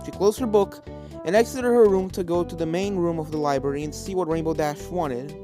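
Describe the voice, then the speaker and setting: Story reader, medium pitch, scripted fantasy narration with music playing underneath. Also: a little fast. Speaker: fan fiction reader.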